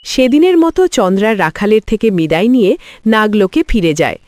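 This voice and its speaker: A woman's voice: mid-range voice, sing-song, brisk, a little mellow, dry recording, studio quality. A woman narrating a scripted cartoon story.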